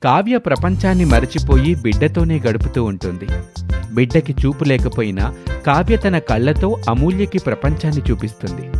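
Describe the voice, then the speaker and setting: A man's voice: mid-range voice, sing-song, normal speed, over music. A man narrating a scripted moral story as a storyteller.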